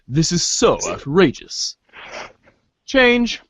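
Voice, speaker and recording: A man's voice: high-pitched, very animated, very slow, fairly bright tone, dry recording, clean studio sound. A man narrating a webcomic in an amateur reader's theater with mock-grave commands, on a muffled recording.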